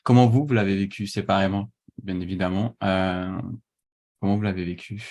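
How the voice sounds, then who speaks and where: Low-voiced man hosting a podcast. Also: steady tone, moderate pace, a little mellow, no echo. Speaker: podcast host. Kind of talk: casual podcast chat.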